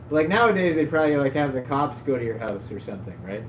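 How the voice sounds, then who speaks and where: A man hosting a livestream, telling rambling stories in a loose, self-mocking way with lots of "like". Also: mid-range voice. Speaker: livestream host. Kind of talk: casual stream chat, storytelling. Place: at his desk at home. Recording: echoey room, faint background hum.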